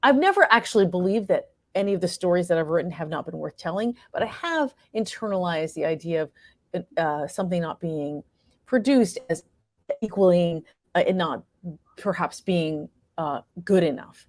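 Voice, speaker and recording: A woman's voice: fairly low pitch, animated, normal speed, a little mellow, dry recording, clean studio sound. A woman being interviewed as a playwright and performer, speaking reflectively and earnestly, often searching for her words.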